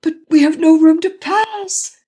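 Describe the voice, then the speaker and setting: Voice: mid-range voice, sing-song, normal speed, dark tone, slightly rough. A reader voicing a frightened young male chauffeur, pleading frantically in an audiobook. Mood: panicky. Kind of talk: audiobook reading.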